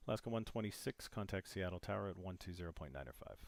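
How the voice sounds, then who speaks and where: A man with a very deep voice plays air traffic controller, issuing headings, speeds and clearances in measured, formal radio phrasing. His voice sounds close and dry. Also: animated, dry recording.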